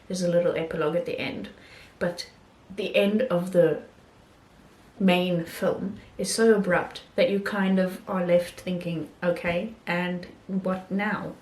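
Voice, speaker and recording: A woman chats through her film reviews in a relaxed vlog, often pausing with ums and restarting her sentences. Her voice sits a little low. The recording has a noticeable room echo. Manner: hesitant, many ums and restarts. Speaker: film vlogger. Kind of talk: casual film review talk.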